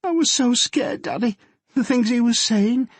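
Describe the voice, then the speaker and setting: Audiobook figure: male narrator voicing a scared teenage girl, pitched high, breathless, husky. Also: expressive, normal speed, a little mellow, no echo. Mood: frightened, tearful. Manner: shaky, pleading, breathless. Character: frightened tearful teenage girl, a policeman's daughter.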